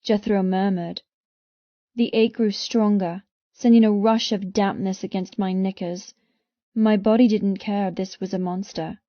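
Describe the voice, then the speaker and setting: A woman reading first-person romance fiction as an audiobook narrator, with intense, expressive delivery. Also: medium pitch, a little slow, no room sound, muffled recording.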